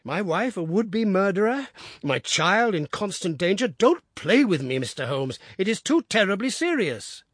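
A man's voice: mid-range voice, moderate pace, breathy edge, no room sound, studio quality, close to an everyday voice. A man voicing a big, desperate husband who pleads urgently and in anguish.

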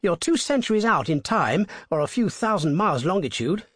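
A man voicing an indignant young English gentleman motorist, blustering and high-pitched in his upper-class exclamations. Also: very animated, moderate pace, slightly rough, dry recording, studio quality, heavily acted. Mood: sulky.

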